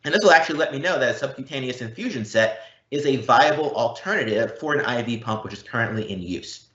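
A man giving an explanatory software demo as a product presenter, in a didactic way with emphatic repetition. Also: medium pitch, lively intonation, fast. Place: indoor room, recorded live online event. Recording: muffled, roomy live-event recording.

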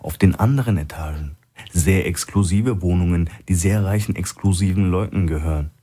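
Male narrator doing a shrewd blunt guy held hostage, dry, husky, thriller audiobook. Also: low-pitched, moderate pace, mellow. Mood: guarded.

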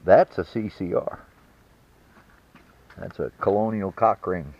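A man's voice: sits low, takes it slowly, creaky. A man narrating a metal detecting trip in a folksy, joking way, filmed outdoors and from inside a truck. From West Virginia. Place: outdoors in woods and in a truck on a rough trail. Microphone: action camera. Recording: muffled camera audio with loud engine noise.

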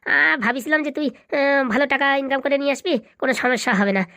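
A woman's voice: high-pitched, fairly quick, bright, forceful tone, no echo. A woman voicing a gullible, money-worried village uncle in comic cartoon dialogue, inquisitive and stammering now and then. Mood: pleased, eager.

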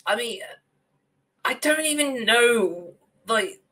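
A man's voice: extremely high pitch, lively intonation, takes it slowly, bright, forceful tone. A young man co-hosting a casual, opinionated discussion of films and games from a room on a video call. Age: young adult. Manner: opinionated, ranting. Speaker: podcast co-host. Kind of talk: casual film and game discussion.

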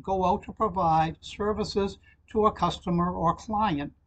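An older man, reading a formal, measured informational address to camera from a small office. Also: high-pitched, slightly rough, rough recording. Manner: formal, measured, reads out numbers and web addresses slowly. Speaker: channel host giving a legislative alert.

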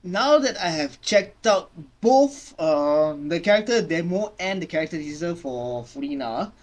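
A young adult man giving casual reaction commentary as a video host, halting and often repeating his phrases. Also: fairly high pitch, lively intonation. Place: small home room indoors. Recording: loud music under the voice. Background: loud music from watched game trailer.

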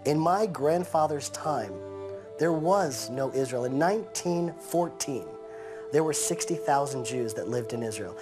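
A man in his thirties, raised in the United States, speaking earnestly in a sit-down interview on a living-room couch and slowing down to stress his points. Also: on the high side, expressive, music in the background.

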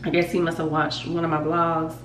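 A woman in her thirties with a stuffy, congested nose chats loosely to her viewers, rambling from one thought to the next. Her voice sits low for a woman. It rings in a roomy bathroom, a bit away from the camera mic. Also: level tone, brisk, recorded from a distance, slight background noise. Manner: chatty, rambling, talks straight to viewers. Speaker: vlogger. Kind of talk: casual chat to camera. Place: home bathroom.

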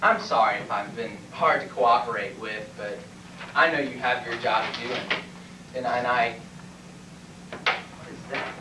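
A man's voice: medium pitch, measured pace, long pauses, lightly acted. Man acting a nervous patient, echoey and distant. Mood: apologetic, subdued. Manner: stammering, protesting, comic exaggeration. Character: nervous, evasive male patient at a medical exam, blustering. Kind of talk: amateur comic stage acting. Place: classroom stage before small audience, far camera. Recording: distant, echoey, noisy camcorder in classroom.

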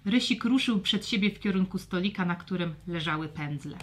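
A woman narrating a scripted children's story in a dramatic, expressive manner. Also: fairly low pitch, brisk.

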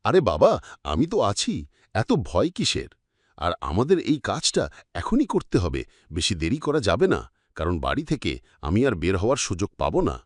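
Man acting an animated village youth. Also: fairly low pitch, normal speed, slightly rough, dry recording, heavily acted. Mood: urging. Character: village youths among friends, excitable and animated.

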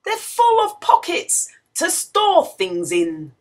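A woman reading a picture book aloud to young children in an expressive, questioning storytelling manner. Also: very high-pitched, slow. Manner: expressive, exclamatory, asks the listener questions.

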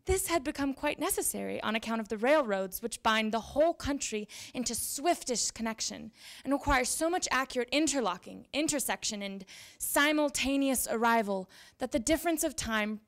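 A young woman giving a dramatic reading of historical letters in deliberate, formal phrasing, on a handheld microphone in an event room. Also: a little high, vocal fry, fairly bright tone. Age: young adult. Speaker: reader at a library event. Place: indoor event room with small audience.

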